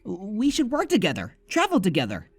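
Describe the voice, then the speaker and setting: A man's voice: high-pitched, huge pitch swings, moderate pace, husky, soft background music, voice pushed up, exaggerated voice. A man voicing an earnest, naive young unicorn pony heroine with a pleading manner in an audiobook. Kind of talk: audiobook character voice.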